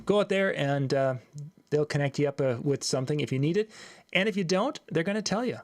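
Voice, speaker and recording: Guy hosting a live chat, a bit of fry, dry close sound, easygoing. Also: on the high side, a little fast, no echo. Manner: friendly, relaxed, questioning. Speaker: host.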